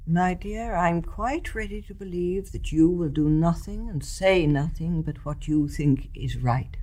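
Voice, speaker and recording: An actress playing a calm, gracious, gently firm older British mother in a radio drama. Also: fairly low pitch, a little slow. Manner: composed, gracious. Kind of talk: British stage-play radio acting.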